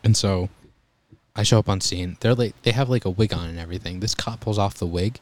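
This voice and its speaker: A young man telling EMT stories in a relaxed, calm voice during a casual chat. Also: lowish voice, a little fast. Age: young adult. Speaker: guest, EMT volunteer.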